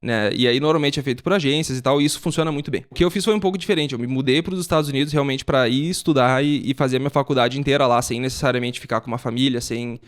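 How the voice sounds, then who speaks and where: A man's voice: mid-range voice, rapid-fire, hardly any pauses, clean tone. A young man from Brazil, a podcast guest explaining things in casual chat and often ending with a tag question.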